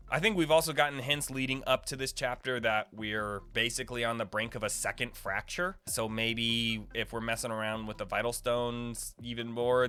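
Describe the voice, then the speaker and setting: A man's voice: mid-range voice, bright, forceful tone, clean tone. A man hosting a gaming video, giving casual, informal lore commentary in a rambling, speculative way. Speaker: gaming video creator and host.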